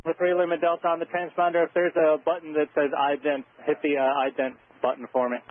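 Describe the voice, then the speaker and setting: A man working as an air traffic controller, giving procedural instructions over aviation radio in a steady way with halting pauses. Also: on the high side, no echo, narrow, tinny audio.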